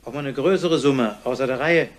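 A man playing a dogged, pondering detective chief in a crime radio play, halting and questioning. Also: mid-range voice, lightly acted. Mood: probing. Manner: halting, questioning, repeats himself.

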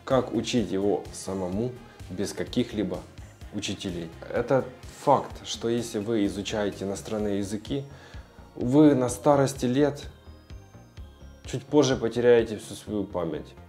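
The laid-back, deep-voiced man you'd find on a video blog, informally explaining his tips with a little music underneath. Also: a little slow, dark tone. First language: Russian. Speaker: video blogger sharing language-learning tips. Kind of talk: casual tutorial talk.

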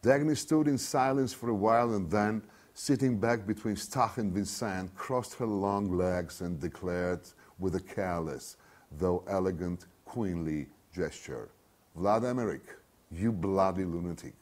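Slow, low-voiced man reading literary prose. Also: darkish tone. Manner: measured, declamatory delivery with deliberate pauses. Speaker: author reading from his novel. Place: small bare studio room, seated at a desk.

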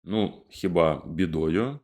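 A man's voice: low-pitched, slow, a little mellow. A man voicing a fierce, commanding male Cossack rebel leader in a declaimed verse reading. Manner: rousing, declamatory.

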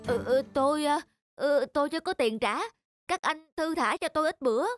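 A man voicing a brash, spendthrift younger brother in an animated cartoon, middle-aged, scoffing and whiny. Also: very high-pitched, moderate pace, soft background music. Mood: pleading.

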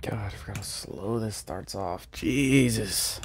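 A man's voice: lowish voice, slow, slightly rough, dry recording. A young man giving casual, offhand live gaming commentary, muttering to himself and swearing. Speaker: game streamer.

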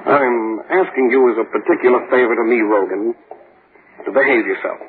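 A man playing a stern, paternal prison warden, measured and admonishing, in old-time radio style. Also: medium pitch, natural voice. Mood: firm, admonishing. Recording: muffled, hissy old radio recording.

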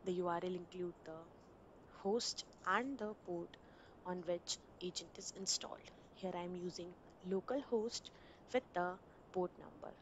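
A woman with a fairly low voice narrates a software tutorial, reading each step in a steady instructional way. She keeps a measured delivery and makes small grammar slips. A faint low rumble sits under her voice. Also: dull audio. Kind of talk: read screen-recording walkthrough. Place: voice-over for a screen recording.

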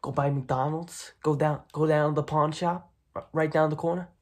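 A young man voicing a gruff, dismissive, streetwise mob boss in a soft-spoken ASMR roleplay, breathy and clipped. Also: a little high, fairly even intonation, natural voice. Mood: incredulous, scornful. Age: young adult. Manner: slow, clipped tough-guy talk with repeated phrases. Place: small dim room at home, indoors.

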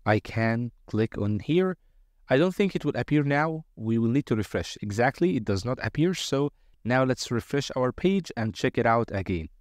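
Male tutorial presenter, mid-pitched, semi-improvised step-by-step walkthrough, close and dry voice-over. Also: expressive, moderate pace, dry recording.

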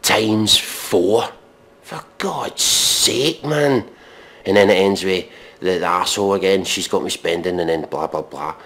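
Low-voiced, exasperated man reading in deadpan. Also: measured pace. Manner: exasperated, scornful, heavy swearing. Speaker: host giving a mock dramatic reading. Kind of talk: deadpan recitation of pop lyrics with sweary commentary.

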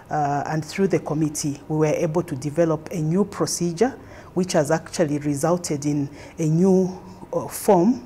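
A woman's voice: low voice, dark tone, a little hiss or hum. A middle-aged woman from Zambia, a government trade official, explaining things fluently in an interview. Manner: fluent, explanatory, occasional fillers. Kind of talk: on-camera interview answers. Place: conference room indoors.

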